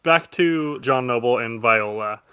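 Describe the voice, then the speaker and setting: A man's voice: mid-range voice, a little slow, sharp tone. A man acting as game master, narrating a tabletop role-playing game and talking its players through the rules.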